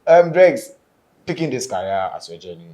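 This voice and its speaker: A man's voice: medium pitch, big pitch swings, moderate pace, dark tone, slight background noise. A man hosting an interview, asking questions in a playful, teasing way with local slang. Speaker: interview host. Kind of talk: casual interview chat.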